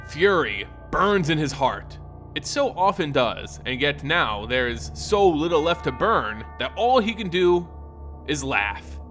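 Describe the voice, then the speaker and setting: Dramatic male storyteller with swooping pitch. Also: pushed and bright. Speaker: storyteller and lore narrator. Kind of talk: dramatic scripted story narration.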